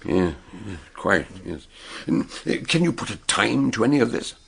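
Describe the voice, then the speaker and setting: An actor playing an eccentric older detective in a British radio drama, husky and gravelly, narrating and probing with questions. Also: male voice, on the low side, very animated, moderate pace, full character voice.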